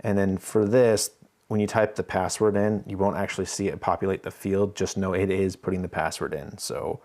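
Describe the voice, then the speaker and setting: A man's voice: low-pitched, fairly even intonation, moderate pace, darkish tone. A man hosting a casual live stream, thinking aloud as he works and chatting easily with viewers. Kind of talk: casual live stream chat while working.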